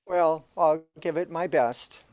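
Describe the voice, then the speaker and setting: A man's voice: fairly high pitch, takes it slowly, a little mellow. A man playing a bewildered, aggrieved man trapped in an absurd afterlife, complaining wordily in amateur sci-fi audio comedy style. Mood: resigned. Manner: complaining, wordy. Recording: echoey room, dull lo-fi sound.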